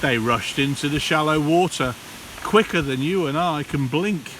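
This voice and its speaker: A man, a primary school teacher, reads a children's storybook aloud in a theatrical, deliberate way with dramatic pauses. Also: on the high side, fairly bright tone. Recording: distant mic, hissy background noise.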